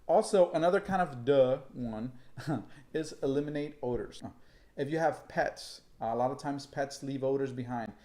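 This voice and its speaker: A man in his thirties co-hosting a casual advice chat, explaining steps in a steady, practical way. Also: mid-range voice, normal speed. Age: 30s. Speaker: real estate agent, co-host. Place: indoor room set, seated at a table.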